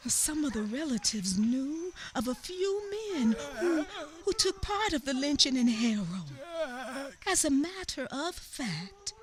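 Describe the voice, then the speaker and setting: A woman's voice: high voice, big pitch swings, unhurried, crowd noise. A woman narrating a family memoir in a radio play, somber and often sinking to a whisper. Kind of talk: dramatic radio theatre narration.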